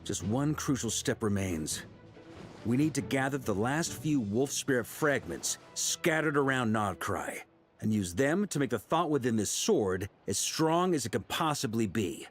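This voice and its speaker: An actor playing a seasoned, confident knight commander laying out a plan. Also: male voice, mid-range voice, a little slow, close to an everyday voice. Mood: commanding. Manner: steady, assured, commanding. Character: seasoned male knight commander, calm planner. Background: game music.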